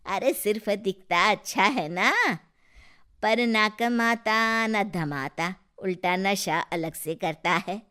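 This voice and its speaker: A woman's voice: mid-range voice, slow pace, sharp tone, clear, resonant tone. A woman voicing a spiteful gossiping aunt. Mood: gossipy. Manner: gossipy, sneering.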